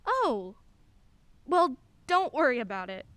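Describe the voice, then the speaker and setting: A woman's voice: sits high, sing-song, slow, a bit bright, dry recording, exaggerated voice. An actress playing a wry, candid single mother in her forties in a radio play, a lawyer who laughs easily while talking. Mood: conversational, wry.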